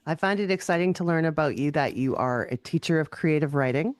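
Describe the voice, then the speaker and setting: A woman's voice: sits low, fairly quick, dry recording. A woman hosting a podcast, putting questions to a guest in a casual conversational style. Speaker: podcast host, interviewer.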